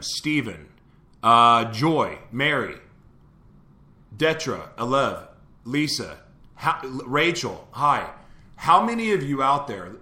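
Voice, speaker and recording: A man's voice: mid-range voice, sharp tone, echoey room. Man giving a preachy pep talk. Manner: preachy, repeats questions to listeners. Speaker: show host. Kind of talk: live motivational talk to listeners.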